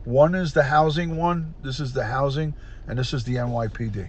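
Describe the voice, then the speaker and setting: A man's voice: mid-range voice, fairly even intonation, moderate pace. A middle-aged man, a retired detective, hosting a casual livestream, chatty and stuffed up, sniffling as he greets viewers by name. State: congested, stuffed-up nose, sniffling.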